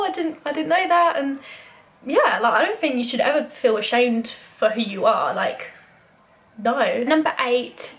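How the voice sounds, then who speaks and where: Young british girl vlogging, kinda high voice, says like a lot. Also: fairly bright tone. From Britain. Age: young adult. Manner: chatty, lots of "like" fillers. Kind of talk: casual chat.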